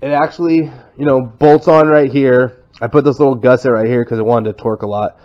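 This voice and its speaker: A man's voice: mid-range voice, steady tone, brisk. A Texan man with a stuffy head cold, casually walking through his kayak setup with lots of fillers and restarts. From Texas. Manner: rambling, many "uh" fillers and restarts. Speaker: kayak vlogger. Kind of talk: casual show-and-tell walkthrough. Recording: slightly dull sound with faint noise.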